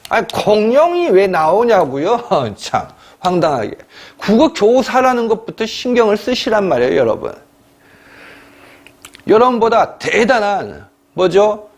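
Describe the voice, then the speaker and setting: Man, middle-aged, exam-prep lecturer, high voice, big swooping pitch, emphatic, scolding, clears throat a lot. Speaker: Korean language exam lecturer.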